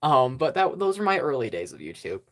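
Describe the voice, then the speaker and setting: Youtuber rambling thru a channel update, lots of ums and little laughs, mid pitch, kinda self-deprecating. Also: very animated, a little fast. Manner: rambling and hesitant, many ums, frequent small laughs; self-deprecating about own past work. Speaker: stop-motion channel host.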